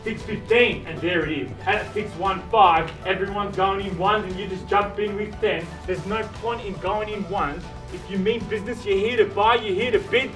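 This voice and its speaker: Brisk Australian auctioneer calling bids outdoors. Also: male voice, high-pitched. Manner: fast persuasive bid calling, punchy repetition. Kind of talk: live property auction calling. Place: outdoor driveway of a house. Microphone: far camera. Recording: echoey, distant, with music bed.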